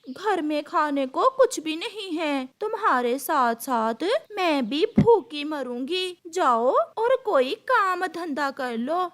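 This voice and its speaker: A woman's voice: sits high, animated, slow, a bit bright, exaggerated voice. A woman voicing a nagging, hard-pressed crow wife in a cartoon story, complaining and insistent. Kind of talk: Hindi animated moral-story acting.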